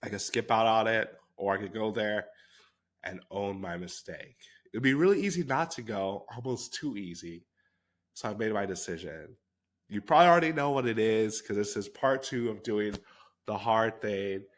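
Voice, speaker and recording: A man with a fairly low voice, a little nasal and congested as he gets over a cold. He talks candidly and casually to his listeners, as if telling a friend what happened, filling in with little asides as he goes. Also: animated, normal speed. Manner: candid, conversational storytelling with fillers. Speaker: podcast host. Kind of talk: solo podcast monologue.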